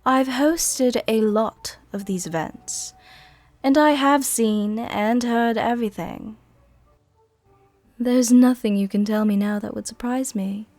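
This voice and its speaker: A woman playing a worldly, softly dominant vampire countess in an intimate roleplay ASMR, with a soft, gentle, tenderly commanding way of speaking. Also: fairly high pitch, slow pace, no room sound, soft background music. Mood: composed, inviting.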